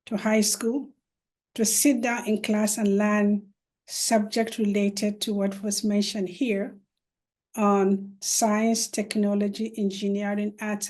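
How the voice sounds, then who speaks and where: A middle-aged woman from northern Nigeria speaks on a video call panel. She talks very slowly and earnestly, and she restarts her phrases with many fillers. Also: medium pitch, a little mellow. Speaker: panelist, law professor and educator. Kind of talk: virtual panel talk.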